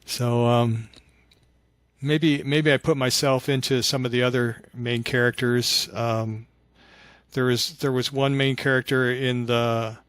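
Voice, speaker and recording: A mature man telling stories conversationally in an interview, with a halting, reflective delivery. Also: mid-range voice, clean tone, no echo, clean studio sound. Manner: halting and reflective, animated when acting out remembered dialogue. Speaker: podcast guest, author of fiction.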